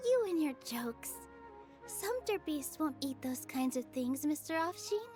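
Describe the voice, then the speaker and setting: A woman voicing a gentle young woman in a game, soft-spoken, sweet and a little bashful. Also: high-pitched, big pitch swings, slow pace, heavily acted. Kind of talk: game voice acting. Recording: game audio with soft background music.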